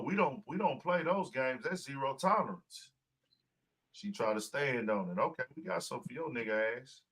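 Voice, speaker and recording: A man hosting a podcast in casual chat, talking in a profane, emphatic, animated way. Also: fairly high pitch. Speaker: podcast host.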